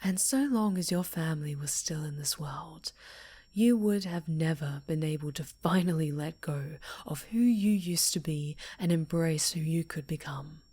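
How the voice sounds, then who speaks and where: A woman acts out a sinister winged superhero villainess for an ASMR drama. The voice is breathy and husky, with slow, deliberate delivery. The character is possessive and obsessive, speaking with menacing tenderness and theatrical intensity. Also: sits low, mellow. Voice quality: breathy, whispery. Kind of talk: ASMR roleplay monologue, theatrical voice acting.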